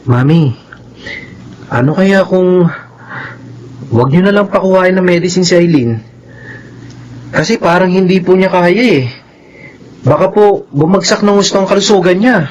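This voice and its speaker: A man playing a caring, protective young Filipino brother and respectful son in a radio drama, earnest and gentle. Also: high-pitched, unhurried, pauses often. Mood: pleading. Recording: dull lo-fi radio drama track.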